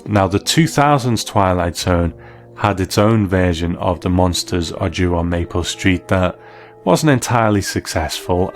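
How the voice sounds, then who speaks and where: Man, deep voice, presenter, scripted review commentary, soft music in the background. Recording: faint music behind the voice.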